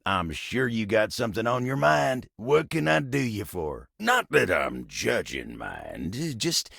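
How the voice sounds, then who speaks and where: A chatty old-fashioned cowboy table from a video game. The voice is low and full of gravel, with a folksy Southern drawl that rises and dips freely. He rambles along politely, easygoing and a little bashful. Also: big pitch swings, measured pace, pushed and bright, dry recording, studio quality, exaggerated voice. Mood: friendly, reflective. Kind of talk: video game character dialogue.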